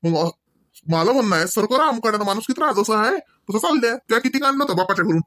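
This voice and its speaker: A man's voice: sits high, lively intonation, fast, bright, forceful tone, no echo, clean studio sound. A man playing a cranky older village husband in a Marathi comedy cartoon, with a rural Maharashtrian accent, hectoring his wife with rhetorical questions. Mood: scolding, exasperated. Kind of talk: comic cartoon dialogue, domestic argument.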